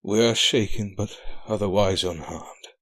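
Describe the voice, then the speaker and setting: Man playing a slow-spoken, weary older king. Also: lowish voice, a bit bright, a little hiss or hum. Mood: grave, reassuring. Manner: formal, measured, stately. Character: weary, dignified older king, gentle father. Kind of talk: audio drama acting.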